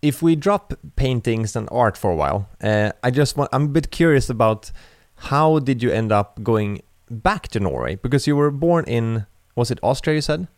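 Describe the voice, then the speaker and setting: A man's voice: medium pitch, big pitch swings, normal speed, few pauses, dry recording. Male interviewer, inquisitive and casual, dry close recording. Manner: curious, probing questions with casual fillers.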